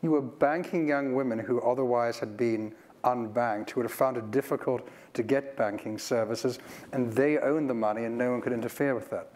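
Measured middle-aged male moderator. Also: mid-range voice, a little mellow. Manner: measured, articulate questioning. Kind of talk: panel moderation. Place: large conference stage. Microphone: lapel mic.

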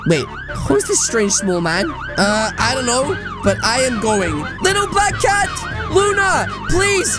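A man in his twenties hosting a gaming video, giving excitable roleplay commentary with exaggerated, childlike dramatics. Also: extremely high pitch, slow, loud music behind the voice. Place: small bedroom-style gaming setup.

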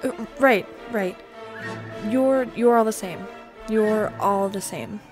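A woman playing an earnest, headstrong high school girl in a radio play. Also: slow, faint music behind, natural voice. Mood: rehearsing, unsure.

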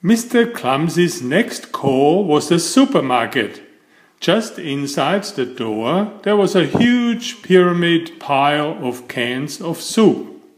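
A man reading a children's picture book aloud as storyteller, with deliberate, emphatic phrasing. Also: fairly high pitch, big pitch swings, roomy sound.

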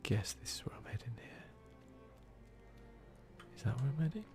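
A man giving soft-spoken, whispered ASMR commentary on a video game, murmuring casually as if to himself. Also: mid-range voice, sing-song, moderate pace, music in the background. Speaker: gaming video host.

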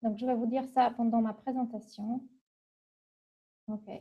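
A middle-aged woman from Poland with a Polish accent, presenting an informal webinar in a halting, conversational way. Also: a little high, level tone, dark tone, husky. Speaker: university international relations manager, webinar presenter. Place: small office, indoors. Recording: dull, lo-fi webcam audio.

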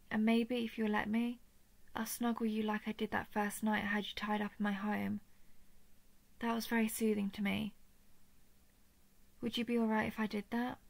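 Female actor for a tender, remorseful dragon lover in audio roleplay: hushed, even intonation, close dry sound. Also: medium pitch, no room sound. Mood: tender, relieved. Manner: soft, hesitant, often dropping into breathy whispers. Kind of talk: intimate roleplay audio drama.

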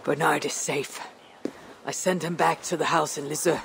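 An actor playing a blunt, steady warrior who speaks tersely. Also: male voice, fairly high pitch, moderate pace, creaky, background noise. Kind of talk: video game cutscene acting.

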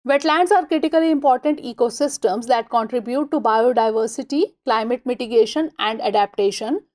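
A woman with an Indian English accent reads scripted lesson notes over slides in an even, measured way. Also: fairly high pitch. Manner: even, measured reading of list items. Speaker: exam-prep lecturer.

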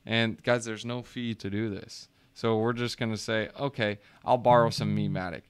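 Chatty joking man, smooth low voice. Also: fairly even intonation, moderate pace. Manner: joking, chatty, addresses viewers as guys. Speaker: crypto YouTuber. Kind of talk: casual screen-share walkthrough.

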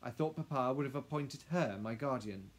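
Storybook child heroine acted by a man: young girl, earnest, devoted, slightly higher voice. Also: moderate pace, close to an everyday voice. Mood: surprised. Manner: earnest, questioning.

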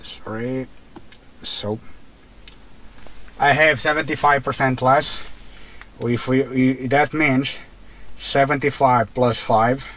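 A man with a Portuguese accent narrates his drive at an unhurried pace, his voice sitting at an average pitch. He stops often, filling the gaps with hesitations and restarts. He is speaking from inside a car. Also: fairly bright tone, a little hiss or hum. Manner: halting, many fillers and restarts. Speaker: car vlogger. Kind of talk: casual narration while driving.

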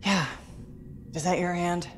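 An actress playing a confident mercenary pilot in a video game, dry and sardonic. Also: female voice, fairly low pitch, steady tone, a little slow, slight background noise, close to an everyday voice. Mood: wry.

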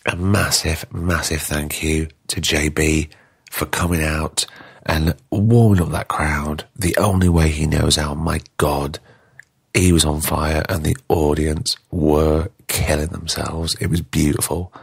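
Podcast host guy, super deep husky voice, flat delivery, gushing and emphatic. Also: takes it slowly. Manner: effusive, emphatic, informal. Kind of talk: closing monologue.

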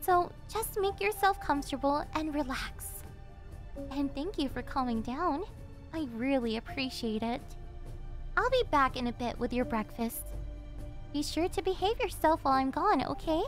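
Yandere girlfriend, very high voice, sugary and controlling, obsessive captor, ASMR roleplay over music. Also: expressive, normal speed. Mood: sweetly controlling. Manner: cloying, coaxing, with breathy giggles and gasps. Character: possessive, obsessive young yandere girlfriend, sweetly menacing captor.

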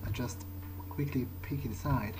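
A man's voice: medium pitch, measured pace, noisy background. A man giving a casual running commentary on the game he is playing. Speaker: gamer narrating own play. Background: game music.

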